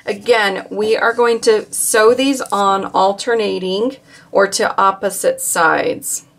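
A woman calmly walking viewers through a quilt block step by step, with occasional fillers as she works. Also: mid-range voice, takes it slowly, creaky. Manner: calm, instructive, with occasional fillers. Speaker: quilting instructor. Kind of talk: step-by-step craft tutorial.

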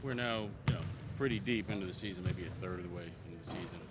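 A male reporter asking a basketball player measured, conversational questions. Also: low voice. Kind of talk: press question. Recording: loud background noise.

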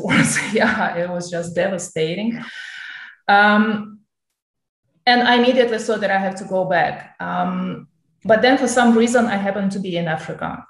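Woman, 30s, Ukrainian accent, lowish voice, reflective conversational delivery, roomy video-call sound. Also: creaky. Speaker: host, long-distance traveller. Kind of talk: casual conversational interview.

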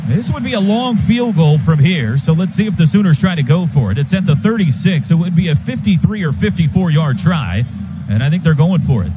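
A man's voice: a little high, fairly quick. An American man calling live football play-by-play on the radio in clipped phrases that rise with the action. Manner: clipped phrases, excited calls rising with the action. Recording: loud stadium noise behind radio broadcast. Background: stadium ambience.

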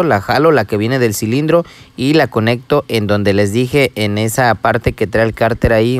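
A man's voice: on the low side, no room sound. A man talking viewers through a small-engine repair step by step, patient and explanatory, speaking to them directly as a voice-over.

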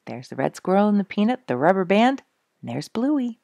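A middle-aged woman reading a storybook aloud with expressive, deliberate, emphatic phrasing. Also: medium pitch, creaky, no room sound, clean studio sound. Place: small quiet room indoors, seated in an armchair.

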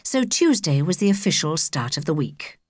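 Female British audiobook reader for children's fiction; expressive, varied pitch in a dry recording. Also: normal speed, no room sound. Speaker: audiobook narrator. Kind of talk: scripted children's story narration.

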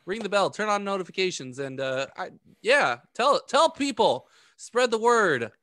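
A man's voice: high voice, very animated, normal speed, a bit bright, no echo, studio quality. A man co-hosting a podcast with casual, joking banter. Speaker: podcast co-host. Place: home room, online group call. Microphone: close podcast microphone.

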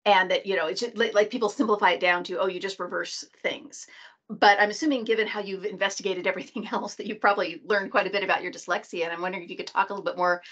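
A woman's voice: medium pitch, fairly even intonation, fast talker, a bit bright, lo-fi sound. A middle-aged woman casually moderating an online group call and putting questions to a guest. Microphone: video call.

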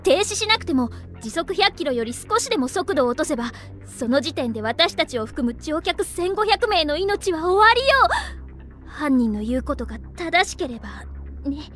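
A woman's voice: sits high, sing-song, normal speed, fairly bright tone, soft background music. An actress playing a clever anime schoolgirl who explains the situation and drops dry asides. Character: sharp, know-it-all anime schoolgirl.

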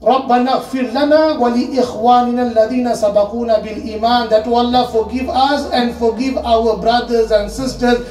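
A man's voice: very high voice, steady tone, rapid-fire, few pauses, darkish tone, distant mic. A man in his thirties giving an earnest sermon at a memorial gathering, slipping Urdu and Arabic phrases into his English and repeating words to stress them. He talks seated at a panel table, holding a handheld mic. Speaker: religious speaker. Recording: echoey hall, mic held away.

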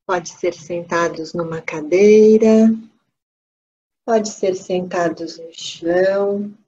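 A woman with a Brazilian accent leading a guided meditation, gently and unhurriedly, pausing between short phrases. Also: on the low side. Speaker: meditation teacher. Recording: dull lo-fi audio with steady noise.

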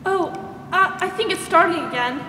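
A woman's voice: extremely high pitch, normal speed, bright, forceful tone. A young woman playing a polite, sympathetic young social worker in a 1940s-style radio drama on stage. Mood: polite, helpful. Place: large theatre stage, indoors. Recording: echoey stage hall, faint noise.

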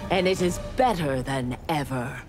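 A cold, ruthless young ninja warrior in a fighting game. Her delivery is slow, clipped and haughty, with a faint music bed underneath. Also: low-pitched. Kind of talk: video game dialogue.

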